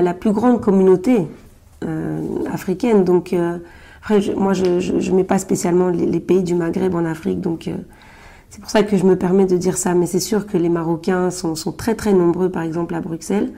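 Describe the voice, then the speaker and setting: A woman's voice: on the low side, steady tone, moderate pace, creaky, warm tone. A woman being interviewed as a journalist and writer, answering in a hesitant way with many fillers and restarts. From Belgium, Brussels-born Congolese.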